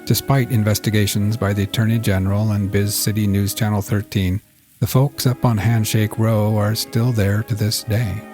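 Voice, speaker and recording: Male fiction podcast narrator: dark, mellow tone, lowish register, measured scripted read. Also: little pitch movement, soft background music.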